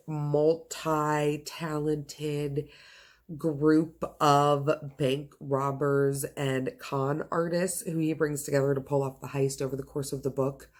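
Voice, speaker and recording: Low-voiced woman gushing about books. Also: darkish tone. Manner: gushing, emphatic enthusiasm with drawn-out stressed words. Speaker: book reviewer and video host. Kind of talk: casual book chat to viewers.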